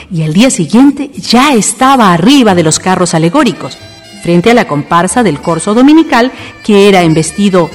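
A woman's voice: on the low side, darkish tone. A woman reading scripted radio narration in a solemn, florid storytelling manner. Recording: long reverb over music.

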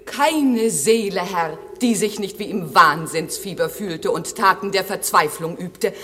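An actress playing an eager, dutiful airy spirit servant in a classic German radio drama, declaiming verse in a lively, lyrical way, with long reverb. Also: female voice, fairly low pitch, measured pace, slightly rough. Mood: eager reporting.

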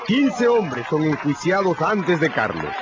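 A man's voice: a little high, wildly swooping pitch, slow. A man narrating a radio drama from a script in a dramatic style. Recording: dull, noisy radio recording.

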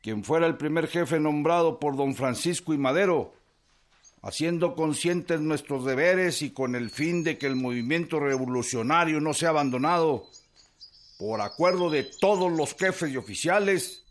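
A man's voice: on the high side, normal speed, lightly acted. A man acting a solemn rebel officer in a radio drama, formally declaiming a proclamation to comrades.

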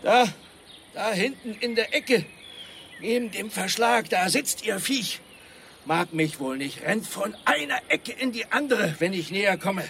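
An actor playing a grumpy, pedantic neighbour who hates cats, gruff, scolding and coarse, in a German radio crime drama. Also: male voice, high voice, expressive, measured pace, sharp tone, slight background noise, full character voice. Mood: grumbling.

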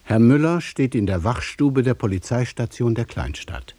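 A man narrating a radio play from a literary script, deliberately, in short phrases set apart by pauses. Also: mid-range voice, animated, warm tone, no echo.

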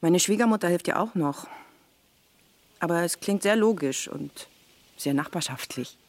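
A woman's voice: fairly low pitch, lively intonation, normal speed, no echo. An actress playing a young woman who runs a village pub, polite and curious, with brief replies in a radio play.

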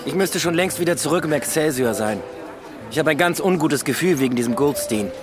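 An actor playing an evasive, grumbling police detective in a radio drama, casual and dismissive. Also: male voice, on the high side, expressive, a little fast, over music, a crowd in the background, natural voice.

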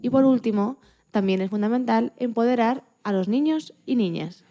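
A woman's voice: a little high, expressive, moderate pace, dark tone. A woman co-presenting a radio programme, reading prepared text aloud. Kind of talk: scripted narration, reading aloud.

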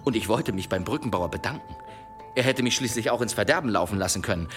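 A man in a radio play acting a courteous traveller who tells a sly tale in a polite, unhurried way. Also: on the low side, expressive, fairly bright tone. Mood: sly storytelling. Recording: music under the voice.

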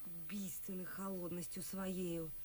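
A woman's voice: lowish voice, level tone, measured pace, a little mellow, lightly acted. An actress playing a proud, distraught, reproachful young noblewoman in a verse radio drama. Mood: cold reproach.

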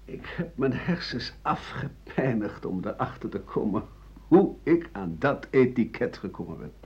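Husky, embittered older man. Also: mid-range voice, sing-song, measured pace, darkish tone, heavily acted. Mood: indignant. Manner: aggrieved, emphatic monologue with scornful chuckles. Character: embittered older French doctor-writer accused of treason, defiant. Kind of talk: radio play monologue. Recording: dull 1980 radio drama recording.

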